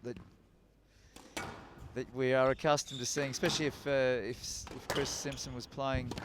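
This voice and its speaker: A man's voice: medium pitch, flat delivery, moderate pace. A man commentating on squash in a casual, chatty way, with court noise behind him. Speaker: sports commentator. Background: ball knocks and squash court noise.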